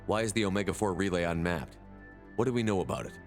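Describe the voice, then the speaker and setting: An actor playing a resolute, blunt space marine commander in a sci-fi game, speaking firmly and directly. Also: male voice, deep voice, fast, faint music behind. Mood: determined, questioning. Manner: firm, direct. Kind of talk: cinematic sci-fi game dialogue.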